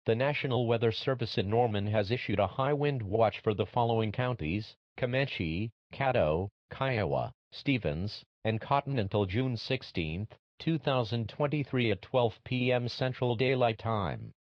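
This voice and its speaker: A man's voice: mid-range voice, lively intonation, moderate pace, hardly any pauses, no echo, lightly acted. A man playing a serious weather alert announcer, reading a scripted emergency bulletin. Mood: measured, serious. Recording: dull, dry lo-fi alert audio.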